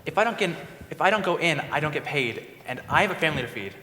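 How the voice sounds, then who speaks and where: A man's voice: fairly high pitch, lively intonation, fast talker, natural voice. A man playing a weary, practical working father on a jury in a stage play. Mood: exasperated. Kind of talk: live stage play acting. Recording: noisy echoey hall stage recording.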